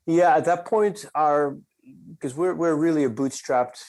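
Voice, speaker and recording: A man from the Netherlands, a company cofounder, telling a story in a measured, reflective way and slowing down for emphasis. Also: on the high side, a little mellow. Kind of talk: conversational storytelling.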